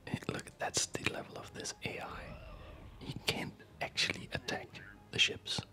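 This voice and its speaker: A person whispering ASMR commentary while playing a game, breathy and unhurried, murmuring as if to themselves. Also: pushed and bright. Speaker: gamer narrating own play.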